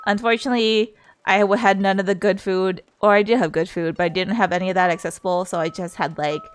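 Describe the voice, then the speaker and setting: A woman's voice: medium pitch, fairly even intonation, fast, clean tone, faint music behind. A woman livestreamer chatting casually in a playful, giggly, rambling way. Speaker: streamer.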